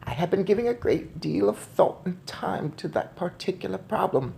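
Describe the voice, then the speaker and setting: A man voicing a loving, proud and crafty old Norwegian grandmother in a storybook, with measured, emphatic delivery. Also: high voice, lively intonation, vocal fry, dark tone, slight background noise, voice pushed up, exaggerated voice. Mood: reassuring.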